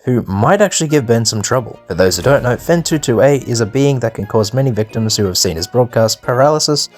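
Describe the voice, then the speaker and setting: A man's voice: mid-range voice, lively intonation, fairly quick. A man delivering scripted but casual, opinionated video commentary, full of informal slang and swearing. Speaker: video narrator and host. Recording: music behind the voice. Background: background music.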